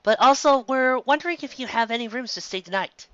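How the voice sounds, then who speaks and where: A man's voice: very high-pitched, a little fast, fairly bright tone, no echo, lo-fi sound, pitched above the performer's own voice. A man voicing a polite, hesitant young female pony in a storybook reading. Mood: polite, hopeful. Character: studious young unicorn mare, polite and hesitant.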